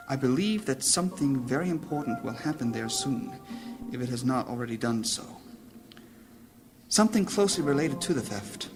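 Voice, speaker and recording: A man acts out a grave wizard lord burdened with worry in a fantasy audio drama. His mid-range voice is formal and deliberate, with a solemn weight. Music plays clearly behind him. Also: medium pitch, lightly acted. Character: grave, anxious wizard council leader in a high fantasy tale.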